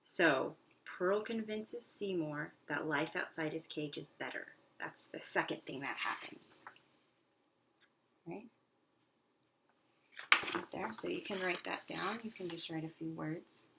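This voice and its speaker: A woman's voice: lowish voice, slow, creaky, pauses often, a bit bright. A woman teaching young pupils in a read-aloud lesson, encouraging and instructional, with simple phrasing and frequent questions. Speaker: elementary school teacher. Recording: noisy recording with clicks.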